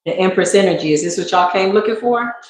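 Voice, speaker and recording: A woman's voice: mid-range voice, fast, heavy background noise. Woman talking in a very echoey room. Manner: slangy, exclamatory, talks straight to viewers. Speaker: spiritual reader and livestream host. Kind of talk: casual livestream tarot reading.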